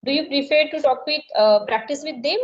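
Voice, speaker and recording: High-voiced Indian woman tutor. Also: highs cut off. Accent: Indian English. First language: Marathi. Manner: slow, careful, repeats questions. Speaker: English tutor. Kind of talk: conversation practice session.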